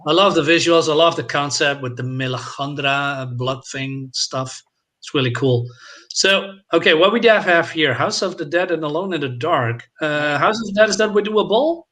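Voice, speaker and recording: A man chatting casually as a panelist, agreeable and quick to chime in. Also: fairly high pitch, sharp tone. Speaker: panelist on a film discussion show. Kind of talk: casual chat.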